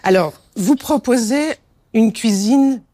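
A woman's voice: fairly high pitch, slow, warm tone, no echo. A woman interviewer speaking informally, chatty and inclined to digress as she introduces her guest and questions.

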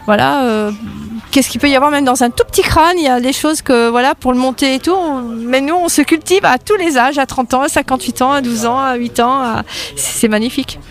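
A woman's voice: high-pitched, fairly quick. A woman in her fifties, interviewed on local radio, talking eagerly and rambling with many fillers. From France. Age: 50s. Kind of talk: casual interview.

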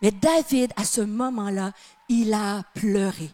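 A Canadian woman with a Québécois accent preaching a sermon to a congregation, stressing phrases emphatically and then dropping to a soft, quiet tone. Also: medium pitch. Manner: emphatic, drawn-out phrases with sudden drops to a soft tone. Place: church with live and online audience.

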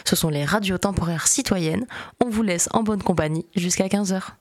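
A woman reading a scripted station announcement in an even presenter tone. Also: lowish voice, moderate pace. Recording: voice over music bed.

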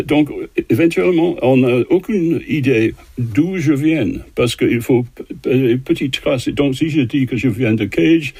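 Older man, husky, halting French. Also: medium pitch, darkish tone, dry recording. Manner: halting, many fillers and restarts. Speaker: composer guest. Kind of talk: interview answers in a second language.

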